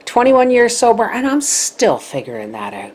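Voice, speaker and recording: A middle-aged woman chatting to her viewers as a homestead vlogger, telling stories in a lively way. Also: on the high side, moderate pace, low-quality recording. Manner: chatty, animated storytelling. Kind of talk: casual chat to viewers.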